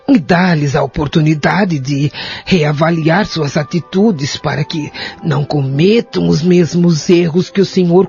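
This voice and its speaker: A man playing a gentle, wise woman in an audio drama, reassuring and softly preaching. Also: a little high, vocal fry, husky, heavily acted. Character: gentle, wise woman consoling an elderly friend. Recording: dull lo-fi audio, faint music behind.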